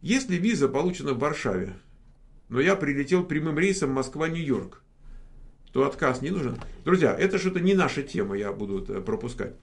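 A man's voice: medium pitch, animated, a little fast, vocal fry. A man hosting a casual live question-and-answer stream, joking and rambling with many fillers. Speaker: host.

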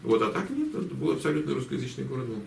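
Middle-aged man, lively intonation, echoey room. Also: medium pitch, racing, creaky. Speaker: interviewer and channel host. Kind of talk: casual chat, anecdotes. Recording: echoey room, distant mic, faint noise.

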